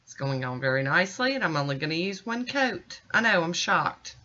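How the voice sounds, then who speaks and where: Casting: American woman, middle-aged, bright forward voice, informal folksy tutorial narration, slightly muffled home recording. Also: lowish voice, very animated, fairly quick. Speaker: nail art vlogger. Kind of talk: casual tutorial voice-over.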